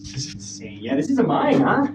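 A young man on a road trip outdoors, excitable and exclaiming, swearing now and then as he talks on an action camera. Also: a little high, a little fast, over music. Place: outdoors and in a mine tunnel.

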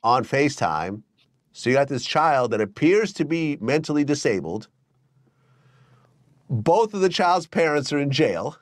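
A man's voice: on the high side, big pitch swings, measured pace, long pauses, dry recording. A man in his thirties in a small studio, talking through celebrity gossip in a matter-of-fact way. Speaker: podcast host. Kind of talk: gossip commentary in a podcast.

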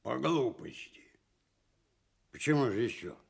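Gruff bear, gravelly husky voice, pompous, lively intonation, children's radio story. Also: male voice, medium pitch, unhurried, exaggerated voice. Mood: condescending. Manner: curt, condescending. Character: big, gruff, self-important bear.

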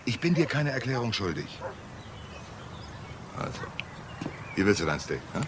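An actor playing an evasive, sardonic lone-wolf police detective in a radio crime drama, with a casual, needling manner. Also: male voice, mid-range voice, very animated, moderate pace, crowd noise, natural voice. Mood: defiant. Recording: clear background noise.

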